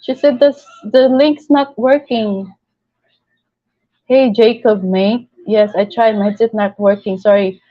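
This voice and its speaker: A woman's voice: mid-range voice, steady tone, a little slow, highs cut off. A woman from the Philippines with a Filipino accent, hosting a casual live stream, chatting and reading viewer comments aloud with frequent laughs.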